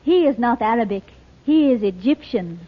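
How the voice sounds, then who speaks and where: Radio serial part: proud mystic princess, high-pitched, grave, formal theatrical speech, tinny old recording. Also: female voice, animated, moderate pace. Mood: grave, restrained. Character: Naji, mysterious, proud Egyptian princess versed in magic.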